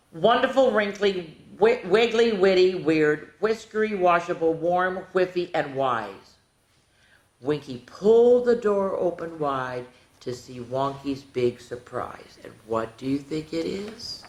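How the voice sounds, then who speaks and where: A woman's voice: deep voice, reverberant. An older woman reading to children with chatty, folksy asides, speaking playfully and directly to her young listeners. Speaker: storytime host reading picture books to children.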